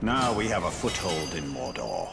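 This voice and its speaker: A regal ghostly strategist, super deep gravelly, big echoing reverb. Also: male voice, sing-song, over loud music, heavily acted. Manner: solemn, measured. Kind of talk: video game character acting. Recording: long hall reverb, faint noise.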